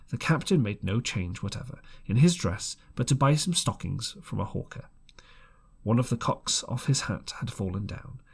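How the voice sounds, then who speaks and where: A man reading an adventure storybook aloud in an expressive amateur style, dramatic and slightly halting. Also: fairly low pitch, gravelly, slightly rough. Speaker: audiobook reader.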